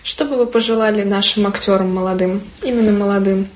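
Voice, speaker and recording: A woman interviewer asking polite, measured questions. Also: medium pitch, steady tone, darkish tone, reverberant.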